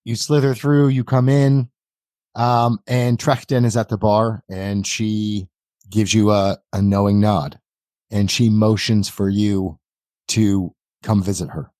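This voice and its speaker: A man narrating a tabletop game as game master, halting between phrases. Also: on the low side, fairly even intonation, a little mellow, no room sound, studio quality.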